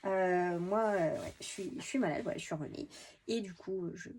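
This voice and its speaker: A woman's voice: fairly low pitch, moderate pace, a little mellow, a little hiss or hum. Woman in her thirties, stuffy head cold, sniffly, casual chatter, self-mocking, lots of euh and restarts. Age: 30s. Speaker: beauty vlogger. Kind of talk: casual chat to camera. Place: at home in an apartment.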